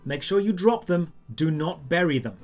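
Higher-pitched man narrating a game guide. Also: lively intonation, normal speed, a little mellow. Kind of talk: scripted step-by-step tutorial narration. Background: background music.

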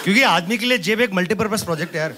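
A man in his thirties performing an animated stand-up comedy set on a club stage with a handheld mic, teasing the audience. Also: high-pitched, racing. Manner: animated, gestures a lot, teases the audience. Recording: loud crowd laughter and noise. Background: audience laughter.

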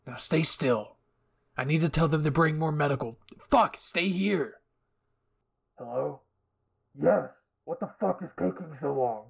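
A man voicing a gruff, hot-tempered young hero in an anime roleplay, brusque, profane and urgent. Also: fairly high pitch. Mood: impatient, angry. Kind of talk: anime ASMR roleplay acting.

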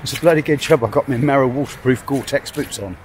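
An older man chatting casually to a handheld camera while hiking outdoors, rambling and self-mocking. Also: mid-range voice. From England. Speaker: hiking vlogger. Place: outdoors by a rushing river in woodland. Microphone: camera held at arm's length. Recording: loud rushing river noise behind. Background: roaring river water.

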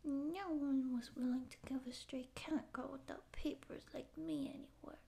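A young woman acting a naive, pouty stray catgirl in a soft, halting ASMR roleplay voice. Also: sits high, steady tone, vocal fry. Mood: plaintive, wistful. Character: naive, tearful stray catgirl would-be space pirate. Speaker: ASMR voice actor. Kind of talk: ASMR roleplay audio drama.

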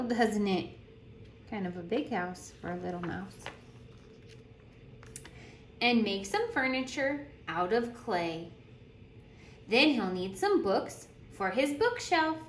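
Female read-aloud teacher for picture books, very animated intonation, addresses young listeners, noisy room recording. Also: a little high. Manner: deliberate storytelling with questions to young listeners. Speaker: teacher reading aloud to children. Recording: roomy echo with clear background noise.

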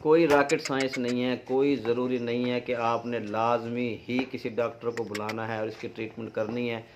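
A middle-aged Pakistani-accented man speaks informally as an instructor giving practical farming advice. His voice sits at an average pitch. The recording is somewhat dull and lo-fi. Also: little pitch movement, moderate pace, slight background noise. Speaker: dairy farming advice presenter. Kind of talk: informal instructional explanation to camera. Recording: dull, lo-fi sound.

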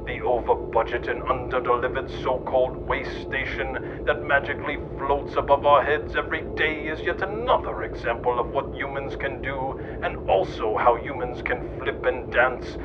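A man's voice: mid-range voice, steady tone, moderate pace, hardly any pauses, background noise. A middle-aged man reading scripted, satirical mock-documentary narration. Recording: muffled lo-fi narration under music. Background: music.

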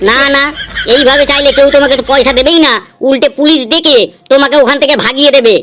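A woman's voice: high voice, fairly even intonation, fast, a bit bright. A woman voicing a bossy, self-important older male boss of beggars in a comedy cartoon, given to scolding.

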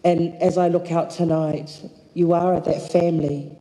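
A woman from Samoa giving a slow, heartfelt acceptance speech at a lectern microphone on a hall stage. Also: lowish voice, flat delivery, mellow. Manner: slow, deliberate, heartfelt with long pauses. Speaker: award recipient. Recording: echoey hall, filmed from the audience.

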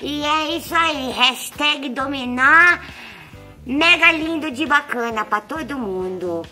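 A woman's voice: high voice, slow, bright, forceful tone, soft background music, exaggerated voice. A woman with a Brazilian accent voicing a chatty, nervous, affectionate young male house cat in a pet vlog. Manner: sweet, coy, chatty to viewers.

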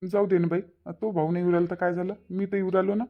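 Easygoing cartoon brother , woman actor: lowish voice, reassuring, Varhadi accent, dull lo-fi audio. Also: little pitch movement, fast, lightly acted. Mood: placid, reassuring. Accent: Varhadi Marathi from Vidarbha. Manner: placid, reassuring, brief replies. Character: easygoing village husband, obliging younger brother. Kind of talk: Marathi cartoon family-drama dialogue. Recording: dull, muffled lo-fi sound.

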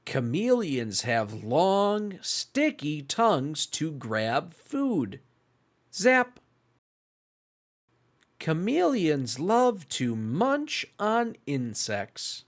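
A read-along host reading aloud for young children in a deliberate, exclamatory and animated way. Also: medium pitch.

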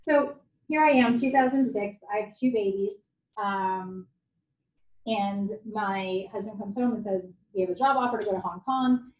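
A woman's voice: mid-range voice, normal speed. A woman in her forties, a native Japanese speaker, giving a candid, conversational guest lecture at a university. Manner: candid, conversational, self-deprecating. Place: lecture hall. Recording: echoey hall, dull lo-fi sound.

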